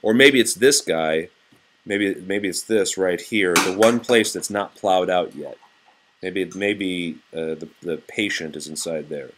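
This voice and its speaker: A man reading a children's picture book aloud to a child in an expressive, patient way. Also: sits low, a little slow, a little hiss or hum. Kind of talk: storybook read-aloud to a child.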